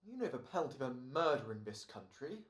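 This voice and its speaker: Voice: mid-range voice, big pitch swings, fast talker, a bit bright, roomy sound. An actor playing an exasperated, hammy male radio actor in an old-time comedy-horror radio play. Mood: stagey.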